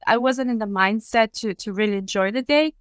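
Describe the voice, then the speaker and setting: Woman, 30s, Bulgarian accent, clear voice, measured guest on a podcast. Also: a little high, no room sound. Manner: measured, with frequent ums and uhs. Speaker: interview guest. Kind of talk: casual conversation.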